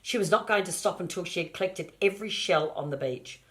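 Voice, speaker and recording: Older woman, low-pitched voice, grandmother, homely storybook read-aloud, expressive, little asides to children. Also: brisk. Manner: expressive, addresses the children directly.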